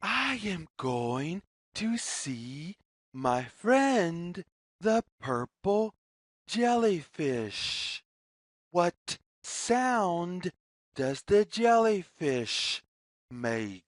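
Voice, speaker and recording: A woman reading a children's story aloud in a deliberate, singsong way, as if inviting young listeners to follow along. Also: fairly low pitch, big pitch swings, no echo, clean studio sound. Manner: deliberate, singsong, repetitive phrasing.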